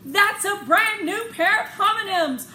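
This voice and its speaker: A woman voicing an excitable, literal-minded school-age girl who blurts out loud, emphatic announcements in a children's storybook reading. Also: very high-pitched, a little slow, pitched above the performer's own voice, exaggerated voice. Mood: excited. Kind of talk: children's novel read-aloud. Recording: echoey room with clear background noise.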